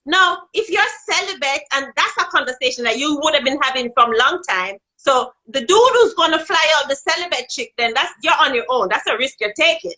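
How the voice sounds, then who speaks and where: Woman, Jamaican accent, high voice, bright tone, frank casual chat, phone call. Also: lively intonation, fairly quick, hardly any pauses. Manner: frank and outspoken, rising in pitch when making a point. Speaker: guest on a live video chat. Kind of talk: candid casual chat about dating and sex. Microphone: phone held in hand.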